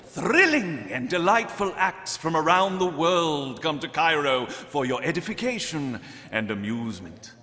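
A man's voice: on the high side, animated, measured pace. A man acting as a showy vaudeville master of ceremonies, projecting florid announcements like a stage showman. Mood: welcoming. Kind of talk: old-time stage announcing. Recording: reverberant theatre hall, crowd and loud music. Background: audience crowd and loud music.

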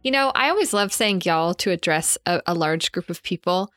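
A woman's voice: fairly low pitch, expressive, moderate pace, a bit bright, dry recording, faint music behind. A young Canadian woman hosting her podcast, chatting casually and enthusiastically with frequent restarts. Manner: enthusiastic, gushing, many restarts. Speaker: podcast host.

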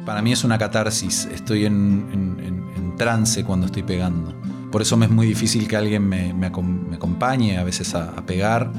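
A man in his thirties from Argentina with a Rioplatense accent, speaking in a reflective, halting way and often repeating words while he searches for them. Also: on the low side, fairly even intonation, over music. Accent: Argentine Rioplatense Spanish. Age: 30s. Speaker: street poet, interviewee. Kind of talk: reflective personal interview.

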